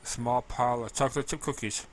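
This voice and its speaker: A man narrating amateur fan fiction in a halting read, now and then stumbling over words. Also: mid-range voice, fairly even intonation, a little hiss or hum, rough recording. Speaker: story narrator.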